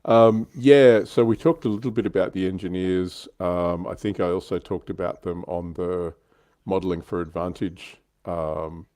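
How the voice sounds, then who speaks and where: A man's voice: low-pitched, steady tone, moderate pace, hardly any pauses, a little mellow. A man hosting a livestream in casual, chatty style, fielding comments from viewers as he goes. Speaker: livestream host. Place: livestream call.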